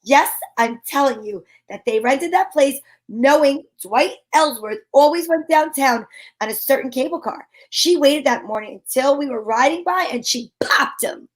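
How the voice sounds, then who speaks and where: A woman playing a sharp-witted, wisecracking private detective in an old-time radio drama, talking in breezy slang. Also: high voice, expressive, normal speed. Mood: wry, explaining.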